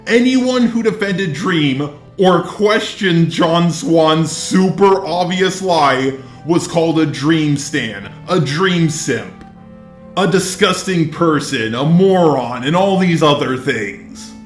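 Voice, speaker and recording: A man narrating scripted online commentary in a deliberate, sardonic manner. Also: fairly high pitch, lively intonation. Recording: roomy echo, faint music. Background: faint music.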